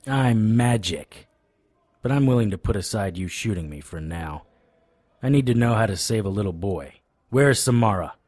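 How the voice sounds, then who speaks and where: Man as wry, low-voiced hard-boiled detective. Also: moderate pace, dry recording, close to an everyday voice. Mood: threatening. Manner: wry, deadpan, sardonic. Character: wry, tough male supernatural private investigator, hard-boiled narrator. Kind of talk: noir audio drama narration and dialogue.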